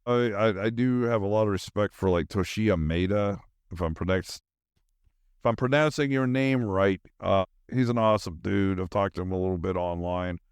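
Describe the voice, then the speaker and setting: A man's voice: deep voice, moderate pace, smooth, dry recording, studio quality. A middle-aged man hosting a podcast, chatting casually and enthusiastically in a scattered, slangy way as he thinks aloud. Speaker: podcast host. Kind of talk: casual rambling solo chat.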